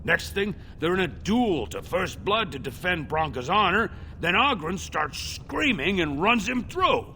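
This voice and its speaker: An actor playing a gossipy, disapproving dwarf townsman in a fantasy game, telling tales with expressive indignation. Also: high voice, a little slow, hardly any pauses, a little hiss or hum, full character voice. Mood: scandalized. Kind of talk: video game voice acting.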